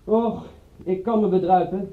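A man's voice: sits high, fairly even intonation, measured pace. A man playing a shifty, flustered small-time private detective in a radio play, talking in a hesitant, fussy way and repeating himself. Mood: eager. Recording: muffled old radio-play recording.

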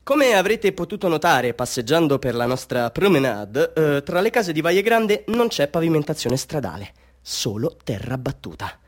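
Chatty, higher-pitched hustling street photographer. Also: male voice, very animated, moderate pace. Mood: showy, hustling. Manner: showman patter, ingratiating, mock-grand, swinging into wry asides. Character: chatty, self-mocking Bolivian amateur street photographer hustling tourists. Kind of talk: theatrical radio drama monologue addressed to tourists.

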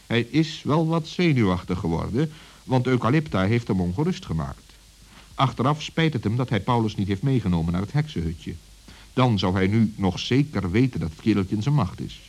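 Husky, animated male story narrator. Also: on the low side, moderate pace. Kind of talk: scripted children's story narration.

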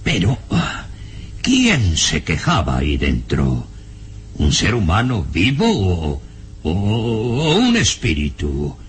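A man playing a frightened, excitable professor in a classic radio drama, halting and theatrical with dramatic pauses. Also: low-pitched, faint music behind, exaggerated voice. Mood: fearful.

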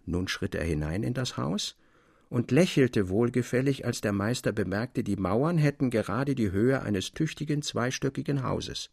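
A man narrating a classic literary tale in a measured, articulate way with expressive emphasis. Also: medium pitch, gravelly, slightly rough, dry recording, studio quality.

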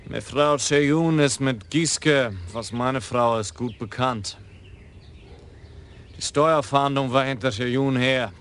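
A calm Frisian police lieutenant in a radio play. He talks in short, plain phrases, unhurried and stubborn. His voice sits in a middle pitch. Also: smooth. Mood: dry. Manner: slow, terse and plainspoken. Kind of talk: radio drama acting.

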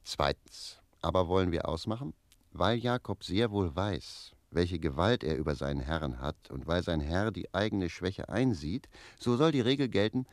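Deep, cheeky philosophizing manservant. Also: male voice, normal speed, no echo. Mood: insistent. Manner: argumentative, philosophizing. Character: cheeky, self-assured manservant lecturing his master. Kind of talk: literary radio play dialogue.

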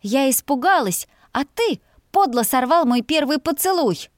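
A woman voicing a proud, fiery young heroine, sharp, scolding and defiant, in an audiobook story. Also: high-pitched, animated, unhurried, dry recording, clean studio sound, pitched above the performer's own voice. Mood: angry, defiant.

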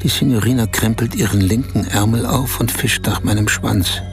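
An actor playing a man in a radio play who confides a strange, embarrassing adventure in short, halting phrases. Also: lowish voice, over music, close to an everyday voice. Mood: embarrassed, confiding. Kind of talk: radio play, intimate first-person storytelling.

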